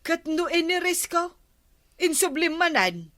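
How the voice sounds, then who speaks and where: A woman's voice: very high-pitched, sing-song, a little slow, a bit bright, heavily acted. A female actor with an Ilocano accent playing a nosy, nagging village woman full of questions in a radio comedy drama. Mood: questioning. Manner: questioning, exclamatory.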